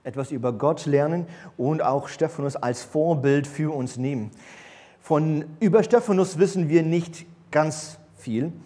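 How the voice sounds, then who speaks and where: A man's voice: fairly high pitch, measured pace, mellow. A man in his thirties, a church elder who grew up in Berlin, giving a sermon in a hesitant way with many fillers and restarts. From grew up in East Berlin, born in the USA. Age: 30s. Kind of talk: sermon with personal introduction. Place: church service.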